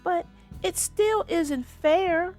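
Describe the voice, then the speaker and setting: A woman's voice: very high-pitched, very slow, darkish tone, faint music behind, pitched above the performer's own voice, full character voice. A woman voicing a proud, indignant young girl bear cub in a children's storybook, speaking emphatically. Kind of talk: children's storybook read-aloud.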